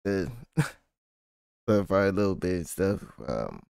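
A young man hosting a gameplay channel, his voice hoarse and nearly gone, rambling in a laid-back way. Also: low-pitched, very animated, slow, a little mellow, no echo, clean studio sound. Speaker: gaming channel host. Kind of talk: casual gameplay commentary.

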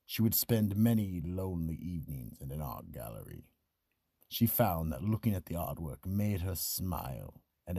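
A man hosting and narrating a radio mystery with solemn, ominous, husky storytelling. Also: very deep voice, warm tone, no room sound, clean studio sound. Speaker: narrator and host.

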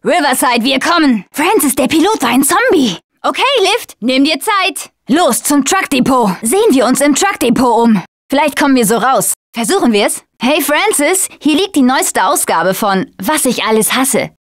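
A high, dry-recorded young female voice for a zombie video game. She is a tough survivor with a blunt, sarcastic edge. Her delivery is urgent, made for short combat barks and quips. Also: very animated, moderate pace, hardly any pauses, dry recording. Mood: focused, brisk. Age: young adult. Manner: blunt, foul-mouthed, urgent.

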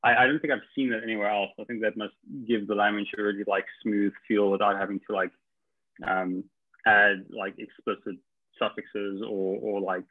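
A man asks halting questions, full of ums and repeated words. His voice is low and gravelly. It comes through thin and tinny, like a phone line. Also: little pitch movement. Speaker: meetup attendee asking questions. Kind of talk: hesitant questioning. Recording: tinny phone-like call audio.

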